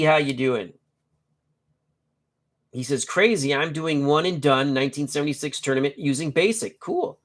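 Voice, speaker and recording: A man's voice: a little high, fairly bright tone. A middle-aged man hosting a tabletop baseball live stream, nasal and congested from a cold, chatting and joking while reading out player stats in short clipped bursts. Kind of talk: casual live-stream chat and lineup reading.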